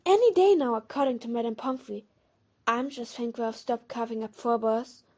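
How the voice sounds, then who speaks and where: A woman playing a studious, brisk and bossy teenage witch girl in an audio drama. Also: fairly high pitch, lively intonation, mellow. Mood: concerned.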